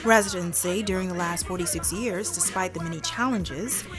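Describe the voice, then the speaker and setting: A woman's voice: on the low side, normal speed, music in the background. A woman news reporter with a Bahamian accent, reading a scripted report.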